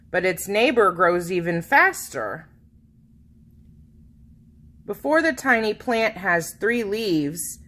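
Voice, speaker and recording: A woman reads a picture book aloud for children in a bright, forward voice. Her pitch swings expressively while her pace stays measured, and she stretches key words for dramatic emphasis. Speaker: children's storybook reader.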